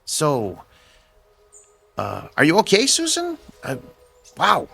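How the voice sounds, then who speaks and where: Creaky, hesitant, lovesick neighbour guy. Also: on the high side, huge pitch swings, slow pace, a bit bright, full character voice. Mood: nervous, awkward. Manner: hesitant, stammering. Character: awkward, lovesick male neighbour, fussing and hesitant. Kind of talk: audio drama acting.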